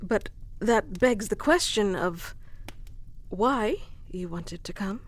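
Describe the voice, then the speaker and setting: A woman plays a lovestruck noblewoman from a fantasy audio romance. She speaks very slowly at a middling feminine pitch, with earnest devotion and a gently teasing streak. Her phrasing is formal and dramatic, like a storybook declaration. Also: animated. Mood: surprised, hopeful. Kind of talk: ASMR romantic audio roleplay, scripted.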